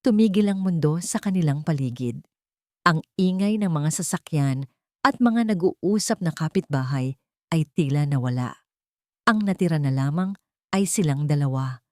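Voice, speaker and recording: A woman reading a dramatic love story as a narrator, in emotive, measured phrases. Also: sits low, darkish tone, no echo, clean studio sound.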